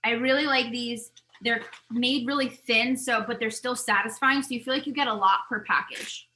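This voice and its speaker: Chatty bright-voiced woman losing her voice. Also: fairly high pitch, normal speed, a little hiss or hum. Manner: rambling, talkative, animated asides. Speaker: vlogger. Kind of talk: casual chatty product review.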